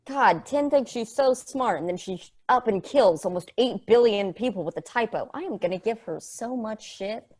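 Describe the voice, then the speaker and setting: A woman's voice: fairly high pitch, animated, moderate pace, hardly any pauses, a little mellow. Woman acting a sardonic, rambling, sweary female probe. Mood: exasperated. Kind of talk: conversational acting in a script table read.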